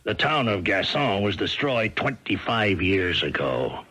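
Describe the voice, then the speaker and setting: Deep-voiced, firm army captain, radio drama. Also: male voice, sing-song, unhurried, pushed and bright, slightly rough, full character voice. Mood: grave, firm. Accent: American. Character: calm, firm male army captain, consoling but sceptical. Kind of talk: 1970s American radio drama acting. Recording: vintage radio broadcast with music.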